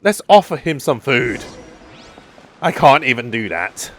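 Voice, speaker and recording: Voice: medium pitch, big pitch swings, a little slow, slight background noise. A game streamer giving casual, chatty play-along commentary and reacting to the game.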